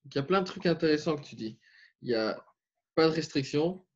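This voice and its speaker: A man's voice: fairly high pitch, expressive, brisk. A man coaching and interviewing, explaining his points emphatically. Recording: dull muffled audio.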